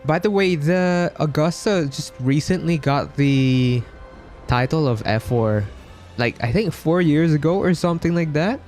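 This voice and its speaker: A man's voice: fairly high pitch, a little slow, a little mellow. A man doing casual live game commentary with slangy, exclamatory reactions. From Philippines. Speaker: live streamer playing a gacha game. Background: game music.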